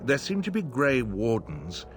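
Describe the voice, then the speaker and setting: An actor playing a scheming advisor to a lord in a fantasy video game, speaking in a measured, deferential, insinuating way. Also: male voice, mid-range voice, huge pitch swings. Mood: grave, measured. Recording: game audio under music.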